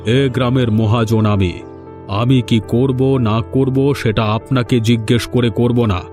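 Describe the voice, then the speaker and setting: A man acting as an overbearing village moneylender in a cartoon folk tale. His mid-pitched voice is domineering and full of menace, with soft music underneath. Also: fairly even intonation, takes it slowly, close to an everyday voice. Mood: menacing. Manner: domineering, threatening. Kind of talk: Bengali animated folk-tale dialogue.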